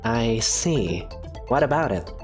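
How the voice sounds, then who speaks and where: Wry female professor, man doing the voice, measured lecturing, lively pitch, dry teasing. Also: soft background music. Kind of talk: fan visual novel voice acting.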